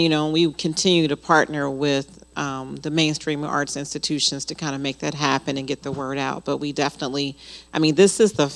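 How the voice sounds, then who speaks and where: A woman hosting a panel discussion, affirming speakers and inviting audience questions. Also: deep voice. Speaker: host and moderator.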